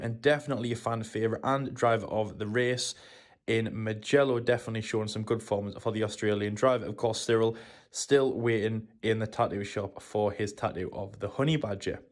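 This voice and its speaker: A man co-hosting a motorsport show, giving a steady race preview full of "of course". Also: medium pitch. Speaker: co-host.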